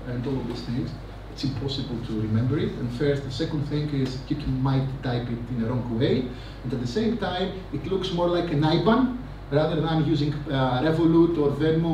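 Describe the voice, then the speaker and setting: A man giving a rambling, energetic conference talk on stage, full of fillers and asides. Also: on the high side. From Greece. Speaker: conference speaker. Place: large conference hall. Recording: echoey hall, mic from a distance, noisy.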